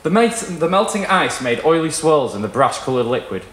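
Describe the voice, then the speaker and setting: A young man giving an expressive, theatrical live reading of literary fiction. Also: a little high, normal speed. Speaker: story reader. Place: small indoor performance room with wooden wall. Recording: roomy live venue sound.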